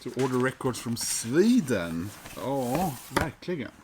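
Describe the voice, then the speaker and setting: Norwegian guy rambling about records at home, lots of uhs and ums, middle pitch, faint hiss behind. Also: big pitch swings, a little slow. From Norway. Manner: loose and chatty, heavy with "uh" and "um" fillers. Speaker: vinyl collector vlogger. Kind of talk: casual rambling chat to camera.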